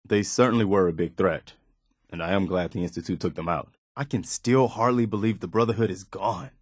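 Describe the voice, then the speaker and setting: An actor playing an earnest, principled militia officer in a video game, sincere and plain-spoken, loyal yet easily disappointed. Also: male voice, sits low, brisk, breathy edge, dry recording, studio quality. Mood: resigned, doubtful. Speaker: video game companion character.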